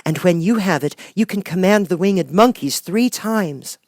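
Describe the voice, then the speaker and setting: Man voicing high, earnest little girl Dorothy. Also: expressive, moderate pace, no room sound, clean studio sound. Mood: eager, polite. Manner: bright, polite, earnest.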